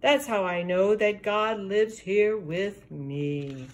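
An older woman voicing a chubby, contented male frog in a rhyming storybook, unhurried and serene. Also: medium pitch, natural voice.

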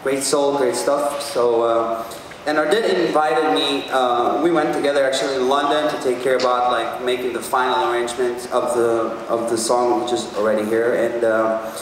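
A man's voice: medium pitch, steady tone, normal speed. A man in his thirties from Albania talking casually and enthusiastically as a musician on a press panel, in a rambling way. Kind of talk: casual, informal press conference talk. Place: large press conference stage, indoors. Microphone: handheld PA mic, picked up by a distant camera. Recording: echoey hall from far off, noisy.

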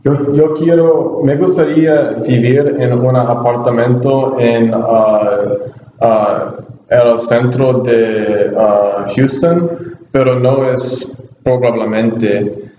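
Slow, hesitant young man, roomy sound. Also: medium pitch, fairly even intonation, dark tone, slight background noise. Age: young adult. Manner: hesitant, slow, with many pauses. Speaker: university student. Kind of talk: scripted class conversation in a learned language. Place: small bare room indoors. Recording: roomy, dull webcam audio.

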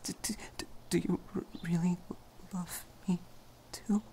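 Male actor as lovestruck vampire youth, ASMR romance: breathy, very hesitant and stuttering, unhurried, nervous and gentle. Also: high voice, level tone, a little hiss or hum. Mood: nervous, hopeful. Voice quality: soft, breathy, often whispering. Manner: heavily stammering, hesitant, apologetic.

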